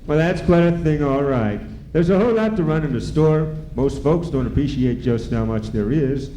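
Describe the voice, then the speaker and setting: A man's voice: a little high, moderate pace, warm tone, lightly acted. A man playing a kindly, curious old farmer with a rural American accent in an old-time radio serial. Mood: amused, advising. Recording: roomy ballroom, faint noise.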